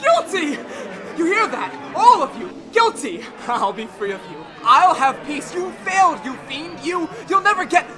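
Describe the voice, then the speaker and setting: A man playing a tormented, guilt-ridden murderer in melodramatic, feverish 1930s-style radio horror, swinging from hushed to shouting. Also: very high voice, sing-song, a little slow, crowd noise, exaggerated voice. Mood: manic triumph. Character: tormented guilt-ridden male murderer hounded by phantom voices.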